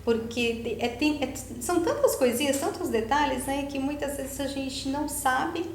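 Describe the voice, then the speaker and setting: Brazilian female host, slightly high voice, chatty and informal, roomy sound with faint noise. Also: moderate pace. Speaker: podcast host. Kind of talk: casual conversational chat.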